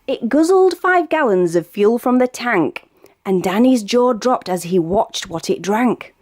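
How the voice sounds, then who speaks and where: A woman with a British accent reads a rhyming children's picture book aloud in an expressive, sing-song storytelling way. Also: on the high side, a little slow. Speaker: storybook reader.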